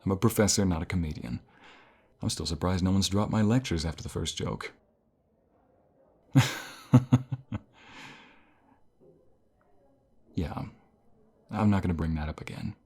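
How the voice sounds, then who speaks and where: A man playing a caring, encouraging college professor in an intimate ASMR role play, speaking softly in a gentle, unhurried, reassuring way. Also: low voice, gravelly, husky, exaggerated voice. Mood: amused, bashful. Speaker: voice actor in an audio role play.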